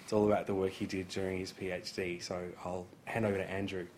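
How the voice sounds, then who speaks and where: A man introduces a guest speaker at a seminar in a relaxed way, pausing now and then as he reads. His voice sits a little low, and a faint hiss runs under the recording. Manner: relaxed, reads with small hesitations. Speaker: seminar host.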